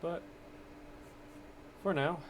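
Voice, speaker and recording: A man streaming a racing game from home, talking in a low-key, monotone, rambling way and keeping his voice down. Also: extremely slow. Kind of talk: casual gameplay commentary. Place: home, kept quiet for others in the house. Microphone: cheap microphone. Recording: noisy cheap mic with game sound.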